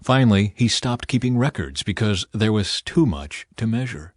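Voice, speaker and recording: A man's voice: fairly low pitch, animated, normal speed, no echo. Man narrating scripture, very dry close sound. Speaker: audiobook narrator.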